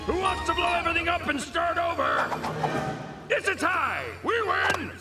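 An actor voicing a pompous, lazy, petulant cartoon ape councillor. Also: male voice, very high-pitched, huge pitch swings, normal speed, heavily acted. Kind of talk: cartoon voice acting. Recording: loud cartoon music underneath.

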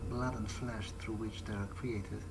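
A man's voice: on the low side, steady tone, measured pace, heavy background noise. A man playing a video game in character, thinking aloud in a musing, hesitant way. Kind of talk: in-character game playthrough commentary.

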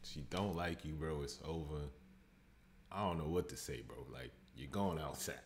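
A man's voice: a bass voice, normal speed, slight background noise. A man hosting a reaction video, talking bluntly in casual chat from a small room at home, laughing often and calling people "bro".

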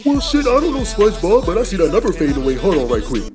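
Brash slangy guy doing funny dubs, quick n lively, loud music behind. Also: high-pitched. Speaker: comic voice-over dubber. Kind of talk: comic slangy voice-over dubbing.

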